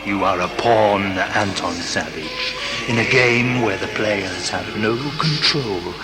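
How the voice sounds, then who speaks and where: An actor playing a menacing, taunting spirit of darkness, speaking ominously in a sci-fi audio drama. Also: male voice, on the low side. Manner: ominous, deliberate. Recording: echoing voice over loud music.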